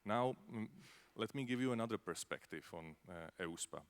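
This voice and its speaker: A man with a Czech accent speaks in a very low voice on a conference panel. He talks loosely and chattily, often dropping in "uh" and "no?" as he goes. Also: lively intonation, moderate pace. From Czech Republic. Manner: conversational, many fillers, tag questions. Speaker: panelist from a space agency.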